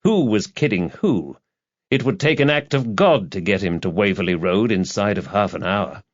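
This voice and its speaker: A man's voice: fairly low pitch, animated, moderate pace, no echo, lo-fi sound. A man reading a detective thriller as an audiobook narrator, in scripted, dramatised narration. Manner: dramatised reading with character voices.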